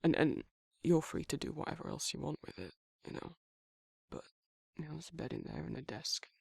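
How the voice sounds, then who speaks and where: A man's voice: a little high, animated, brisk, no room sound, clean studio sound, full character voice. A man playing a protective, awkward werewolf in an intimate ASMR roleplay, speaking breathily and hesitantly, often near a whisper. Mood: sheepish, rambling. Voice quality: husky, breathy, gravelly, often dropping to a whisper. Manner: hesitant, rambling, bashful, informal. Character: protective, awkward, soft-hearted male werewolf confiding in a friend.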